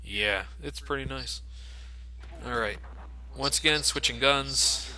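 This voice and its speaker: A man's voice: mid-range voice, slow, low-quality recording. A man giving casual, explanatory game commentary with plenty of swearing. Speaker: let's play commentator. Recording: loud game gunfire behind voice. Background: video game gunfire and action.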